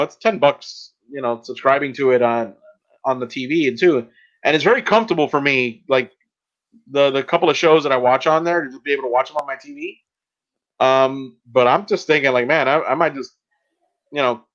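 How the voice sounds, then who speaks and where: A man's voice: mid-range voice, fairly bright tone. A man co-hosting a podcast in casual chat, recorded with slightly dull, lo-fi sound. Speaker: podcast co-host.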